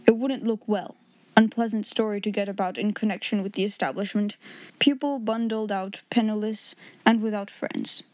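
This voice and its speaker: Cool, calculating gentleman of business read by a woman, storybook style, dry and slyly polite, close-miked. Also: mid-range voice, fairly even intonation, moderate pace, no room sound, natural voice. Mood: unruffled. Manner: unruffled, dry, insinuating. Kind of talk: storybook character voice.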